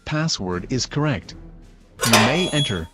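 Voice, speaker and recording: A man's voice: medium pitch, animated, measured pace, loud music behind the voice, natural voice. A man voicing a stern, threatening automated security system with formal, clipped announcements in a motion comic. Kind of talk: motion comic character acting.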